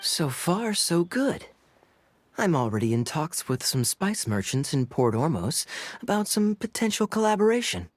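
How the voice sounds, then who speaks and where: An actor playing a sly, suave young knight captain in a video game, talking in a wry, teasing, drawling way. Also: male voice, fairly high pitch, big pitch swings, normal speed, dry recording. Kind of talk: video game character acting.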